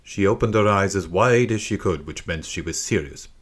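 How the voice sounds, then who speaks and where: Low-voiced man narrating a children's story. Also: normal speed, breathy edge. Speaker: audiobook narrator.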